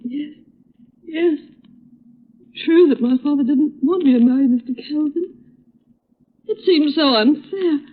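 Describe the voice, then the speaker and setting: An actress playing a sorrowful young bereaved daughter, halting and plaintive, in 1930s radio melodrama. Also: female voice, sits high, narrow, tinny audio. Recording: thin old radio recording.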